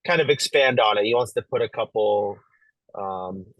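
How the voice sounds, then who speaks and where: A young man from Las Vegas, a pro pickleball player, chatting as an interview guest in a relaxed, easygoing way. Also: lowish voice, moderate pace, no echo, rough recording. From Las Vegas, USA. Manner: relaxed, easygoing, lots of fillers and light laughs. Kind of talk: casual interview talk.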